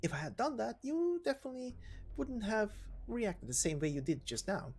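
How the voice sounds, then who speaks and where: A woman's voice: fairly high pitch, big pitch swings, normal speed, darkish tone, slightly rough, pitched above the performer's own voice, exaggerated voice. A woman voicing a playful, businesslike, scheming female company director from a game story, persuasive and teasing in manner. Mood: coolly persuasive. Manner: persuasive, teasing, matter-of-fact. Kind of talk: dramatic game story reading.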